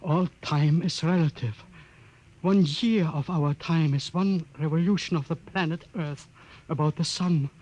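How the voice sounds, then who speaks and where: An actor in a 1950s radio drama playing an aged scientist, explaining slowly and heavily. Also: male voice, a little high, darkish tone, poor audio. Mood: grave. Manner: slow, heavy, explanatory. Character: aged male scientist, grieving and awed. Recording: old radio broadcast with faint hum.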